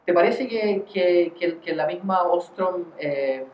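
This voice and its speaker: A man moderating an academic discussion, putting long scholarly questions haltingly with repeated words, in a roomy, dull recording with faint noise. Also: sits high.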